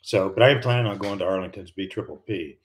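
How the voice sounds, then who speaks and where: A man's voice: sits low, quick pace. An older American man hosting a live stream, chatting casually and rambling with many fillers and restarts. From United States. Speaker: livestream host, retired pilot.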